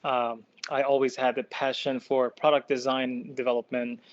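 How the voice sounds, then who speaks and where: A man from Iran telling his own story as a podcast guest, in a halting, thoughtful way. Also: medium pitch, level tone, dry recording. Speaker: podcast guest, product designer. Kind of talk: personal storytelling in an interview. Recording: dull lo-fi close dry sound.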